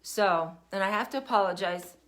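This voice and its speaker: An older woman hosting a casual livestream, chatty and meandering, her throat still rough from a lingering cough. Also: medium pitch, normal speed. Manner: chatty, warm-hearted, meandering with fillers. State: recovering cough, cough drop in mouth. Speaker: podcast host. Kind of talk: casual livestream talk to viewers.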